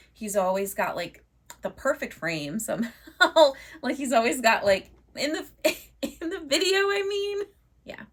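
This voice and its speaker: A woman vlogger chatting casually and upbeat to her viewers, her voice a bit hoarse from losing it. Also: medium pitch, fairly bright tone. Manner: chatty and upbeat, many fillers like "like". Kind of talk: casual chat to camera.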